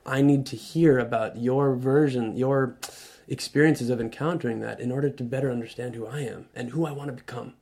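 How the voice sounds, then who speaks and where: Earnest man, halting restarts. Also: mid-range voice, fairly even intonation. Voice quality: loud voice. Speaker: radio guest. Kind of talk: reflective conversational talk. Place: radio studio.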